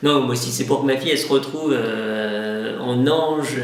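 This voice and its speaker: A man's voice: medium pitch, normal speed. A man in his thirties talking in a conversational comedy sketch, indignant and emphatic, as a fussy dad. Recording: very echoey room, faint noise.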